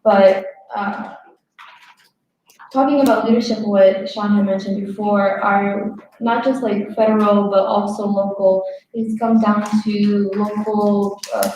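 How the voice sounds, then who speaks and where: A woman's voice: medium pitch, little pitch movement, takes it slowly, a little mellow. A young woman panelist from Texas speaking earnestly, with many "like" and "um" fillers. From Texas, United States. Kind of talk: panel discussion. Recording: very echoey with faint noise.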